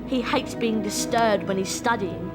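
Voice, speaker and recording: A woman voicing an excitable, cheeky British schoolboy wizard in a video game, blunt and casual. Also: mid-range voice, unhurried, lightly acted. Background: loud music.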